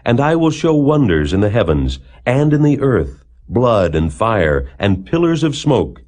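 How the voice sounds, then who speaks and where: A man's voice: mid-range voice, big pitch swings, a little mellow. Solemn man reading scripture with sweeping intonation. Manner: solemn, declamatory, measured phrasing. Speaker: Bible narrator.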